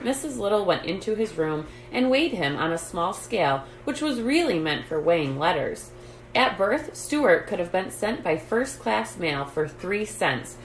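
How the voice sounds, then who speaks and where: A woman with a lowish voice reads a children's story aloud, deliberately and with emphasis. Her pitch rises and falls in a lively way. The room is echoey. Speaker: storytime host reading a children's book. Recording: roomy echo, background noise.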